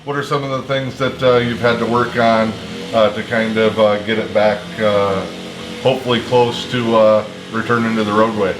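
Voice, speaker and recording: A man hosting a casual local interview, with halting, hesitant phrasing. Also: lowish voice, fairly even intonation, background noise. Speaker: host and interviewer. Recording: roomy echo, music underneath.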